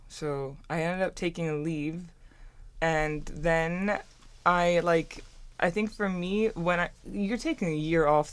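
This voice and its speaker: A woman's voice: deep voice, smooth. A student woman in her twenties from Turkey, a native German speaker, telling her story in a chatty way with lots of restarts. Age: 20s. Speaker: student co-host. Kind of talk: casual conversational storytelling.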